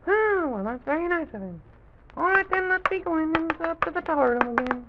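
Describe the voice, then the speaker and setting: A man voicing a small, excitable, curious childlike character in an old children's radio serial, exclaiming and repeating himself in wonder. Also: very high-pitched, a little fast, very noisy. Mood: eager, bustling. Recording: dull vintage radio recording with music.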